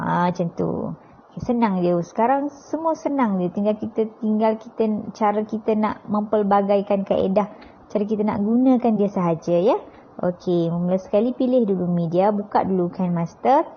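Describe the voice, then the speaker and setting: Malaysian woman tutor, dull lo-fi recording. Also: medium pitch. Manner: conversational, frequent checking tag questions. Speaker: tutorial presenter, teacher. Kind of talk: informal step-by-step app tutorial. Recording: dull, lo-fi sound.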